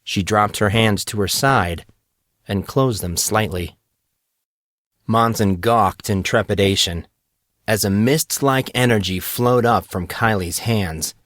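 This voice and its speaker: Slow, deep-voiced male storyteller. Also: dry recording, clean studio sound. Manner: steady, measured storytelling. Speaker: author and narrator. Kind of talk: scripted fantasy audiobook narration.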